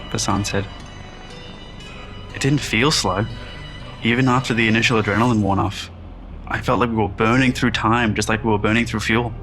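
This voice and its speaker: A reflective starship crewman, voiced by a man recalls a drawn-out space battle in an audio drama. His voice sits a little low but rings bright and forceful. Soft music plays under him. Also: natural voice. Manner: reflective, recounting. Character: male starship crew member recalling a tense battle. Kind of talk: first-person audio drama monologue.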